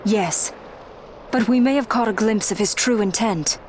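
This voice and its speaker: An actress playing a resolute young princess in a video game, speaking formally and earnestly. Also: female voice, mid-range voice, normal speed, slight background noise, lightly acted. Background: game score music.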